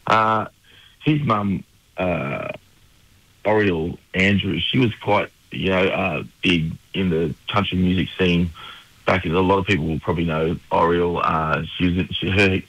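This Australian man, a musician guest, talks in an easygoing, laid-back manner. His voice is very low and husky. The sound is dry and close, with no room around it. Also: unhurried, no echo, muffled recording. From New South Wales, Australia. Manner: relaxed, laid-back, many fillers. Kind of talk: casual radio interview.

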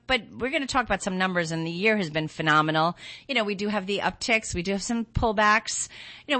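A woman's voice: fairly low pitch, a bit bright, no room sound. A woman hosting a financial radio show, chatting casually with many restarts and laughs, her voice a little scratchy and nasal. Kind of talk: casual radio talk about markets. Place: radio studio.

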